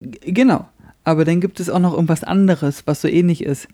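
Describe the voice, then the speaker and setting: A man's voice: on the high side, fairly quick, dark tone, no echo, clean studio sound. A man co-hosting a podcast in casual, joking, rambling banter. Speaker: podcast co-host.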